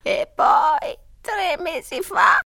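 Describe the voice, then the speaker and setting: A man voicing an aggrieved old lady ghost for a storybook, with a shrill, wailing voice and a melodramatic, drawn-out delivery. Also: lively intonation, bright, forceful tone, heavily acted. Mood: tearful, aggrieved. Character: aggrieved old lady ghost carrying her severed head. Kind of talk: audiobook character voice.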